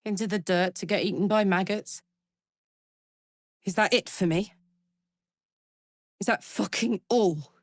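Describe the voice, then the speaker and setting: An actress voicing a blunt, battle-hardened but warm-hearted woman warrior in a video game. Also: medium pitch, a little slow, long pauses, dry recording, clean studio sound, close to an everyday voice. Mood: angry, defiant. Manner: blunt, swearing, swinging between playful and intense. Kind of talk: video game character voice acting.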